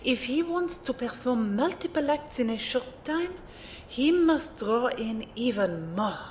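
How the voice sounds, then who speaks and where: A woman's voice: animated, measured pace, a little mellow. A woman playing a refined, playful magic mentor in an audio drama, formal and precise as she teases and lectures. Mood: lecturing. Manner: formal, precise, teacherly phrasing. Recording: roomy amateur recording, faint rumbling noise.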